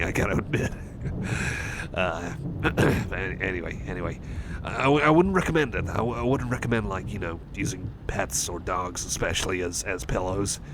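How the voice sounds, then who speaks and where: A man's voice: fairly low pitch, natural voice. A man playing an anxious, timid cyborg buddy in an ASMR roleplay, stammering, hesitant and rambling, repeating himself as he talks intimately to the listener. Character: anxious, timid young male cyborg buddy, gentle and apologetic. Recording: noisy thunderstorm ambience behind voice.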